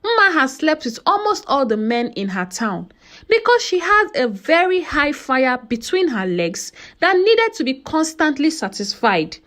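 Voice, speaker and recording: A woman narrating a folktale as a scripted story, with an expressive, dramatic delivery. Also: sits high, unhurried.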